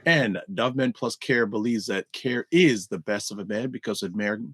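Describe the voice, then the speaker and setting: A man's voice: on the low side, normal speed, a bit bright, slightly rough, no echo. Upbeat male co-host with dry sound. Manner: upbeat, repetitive emphasis, announcer-like reads. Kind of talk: casual podcast chat on a video call. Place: indoor room.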